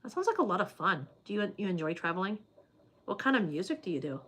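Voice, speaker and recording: A woman's voice: mid-range voice, very animated, fast, fairly bright tone, breathy edge. A woman hosting a casual livestream, chatting loosely with viewers and reading their comments, with plenty of "like" and "um" fillers.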